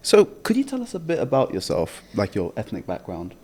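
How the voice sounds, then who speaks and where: Young man, lowish-pitched voice. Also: wildly swooping pitch, fairly quick, darkish tone, slightly rough. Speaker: podcast host, interviewer. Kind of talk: casual conversational interviewing.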